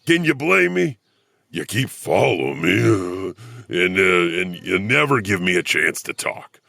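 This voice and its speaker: Man playing a gravelly, slow, theatrical storyteller. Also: low-pitched, very animated, pushed and bright, no room sound, heavily acted. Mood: pleading, wounded. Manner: theatrical, halting, chuckling between thoughts. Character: lonely, theatrical storyteller janitor who loves Shakespeare. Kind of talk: in-character monologue, horror audio drama.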